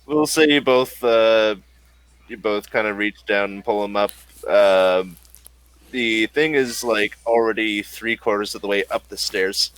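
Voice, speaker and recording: A man narrating as game master of a tabletop game, describing scenes in halting bursts with many fillers. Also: lowish voice, a bit bright, clean tone, no room sound, rough recording.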